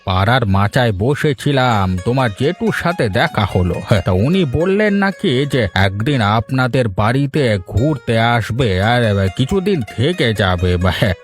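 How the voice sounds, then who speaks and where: A man's voice: medium pitch, big pitch swings, normal speed, hardly any pauses, faint music behind, natural voice. A man voicing a friendly elderly village neighbour in a cartoon. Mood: friendly, chatty. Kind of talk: Bengali cartoon voice acting.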